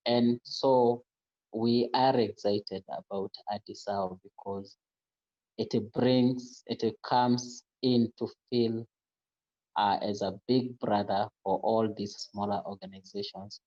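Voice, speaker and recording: A man speaks on a conference panel in a low, level voice, pausing now and then to find his words. The audio sounds dull and lo-fi. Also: a little mellow. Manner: deliberate, with hesitant uh pauses. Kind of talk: virtual panel remarks.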